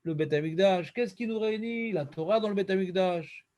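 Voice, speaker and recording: High-voiced rabbi teaching. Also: male voice, a little fast. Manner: lively, questioning, quotes Hebrew verses in a chant-like cadence. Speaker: rabbi teaching a Talmud class. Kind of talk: Talmud lesson.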